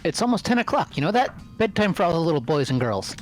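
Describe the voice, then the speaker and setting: A man's voice: a little high, sing-song, fast talker, faint music behind. A man voicing a strict, irritated teenage boy who scolds bossily in an amateur visual novel dub.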